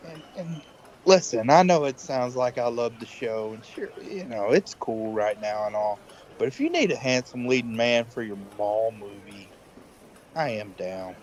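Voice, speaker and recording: A man's voice: mid-range voice, expressive, unhurried, darkish tone, slight background noise, natural voice. A man playing a vain, petulant young TV heartthrob in a comedic audio play, recorded with slightly dull sound. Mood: sheepish, self-promoting.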